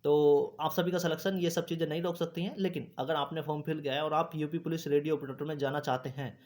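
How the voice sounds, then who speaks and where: Higher-pitched man explaining. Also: fairly even intonation, fast talker. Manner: instructive, repeats points with frequent check-in tags. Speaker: host of an exam news channel. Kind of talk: informal explainer talk to exam candidates.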